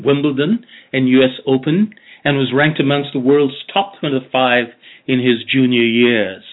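Male interview host, measured and reflective, murmurs encouragement; recorded over a tinny phone-like line. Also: medium pitch. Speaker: podcast host. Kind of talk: interview, scripted intro then chat.

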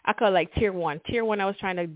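A woman's voice: mid-range voice, fast, dry recording. Jamaican-accented woman, middle school principal being interviewed, earnest and upbeat, fillers and restarts, thin phone-like call sound, dry. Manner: enthusiastic, earnest, polite with frequent fillers. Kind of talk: conversational interview. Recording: tinny call audio, dry.

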